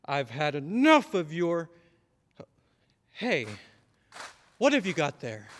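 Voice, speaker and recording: A man's voice: on the high side, big pitch swings, takes it slowly, a bit bright, slight background noise, heavily acted. A man playing a stern, exasperated father in an old-time radio drama, with emphatic delivery. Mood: scolding, exasperated. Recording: long hall reverb.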